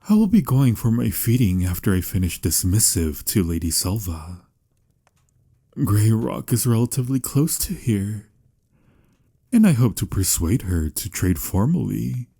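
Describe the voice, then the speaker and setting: A man playing a melancholy, courtly vampire lover in a romantic ASMR audio roleplay, speaking softly in formal, old-fashioned, measured phrases with a breathy, husky voice. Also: sits low, full character voice. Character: melancholy, courtly male vampire lover, patient but pained.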